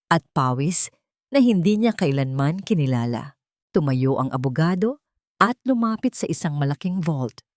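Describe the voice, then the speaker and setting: Woman telling a drama story, kinda low voice, serious and measured, really dry recording. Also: darkish tone, no echo. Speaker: story narrator. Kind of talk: scripted dramatic narration of a love story.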